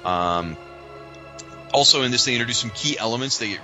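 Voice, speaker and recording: A man co-hosting a podcast, chatting casually and informally like an animated fan. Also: medium pitch, brisk, dull audio, soft background music. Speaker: podcast co-host.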